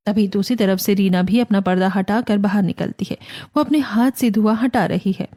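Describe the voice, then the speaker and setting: A woman with an Indian accent reads scripted narration for an animated family drama. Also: medium pitch, moderate pace, no echo. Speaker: story narrator.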